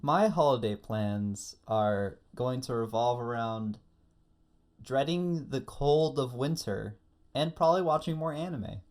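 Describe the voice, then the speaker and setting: A man co-hosting a casual podcast about anime, telling stories in an animated way. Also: mid-range voice, measured pace. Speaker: podcast co-host.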